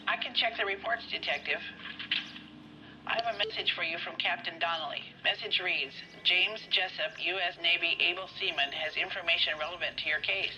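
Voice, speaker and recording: An actress playing an efficient, polite police telephone operator in a video game. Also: female voice, fairly low pitch, fairly quick, noisy background, lightly acted. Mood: businesslike. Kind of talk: video game acting, reading out messages.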